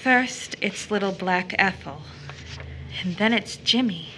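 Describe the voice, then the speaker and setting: A woman's voice: mid-range voice, slow pace, bright, forceful tone, slight background noise. A woman playing a tender but troubled child-loving young woman in an audio drama, earnest and theatrical. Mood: anguished, brooding. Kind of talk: audio drama stage-style acting.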